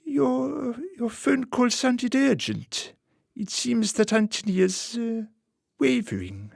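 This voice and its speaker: A man acting a suave, calculating political fixer in a radio play, speaking formally and deliberately with quiet menace. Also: extremely high pitch, expressive, creaky, no echo, clean studio sound, full character voice. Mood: courteous, probing. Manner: formal, measured, deliberate phrasing.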